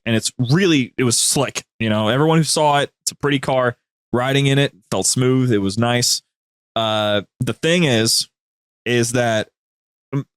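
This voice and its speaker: A man's voice: mid-range voice, dry recording. Casual male podcast guest, dry sound. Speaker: podcast guest, media group co-host. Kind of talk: casual jokey chat.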